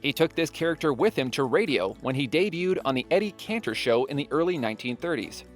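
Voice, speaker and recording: A man's voice: fairly high pitch, expressive, fast talker, over music. A man reading scripted documentary narration in a playful, wry manner, with joking asides.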